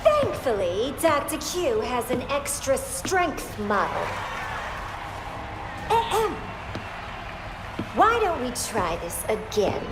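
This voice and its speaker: A woman's voice: huge pitch swings, takes it slowly, pauses often, full character voice. A woman voicing a manic, theatrical female video game villain with a showy, taunting, gleefully menacing delivery. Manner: showy, taunting sales pitch. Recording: echoey, loud music and crowd behind.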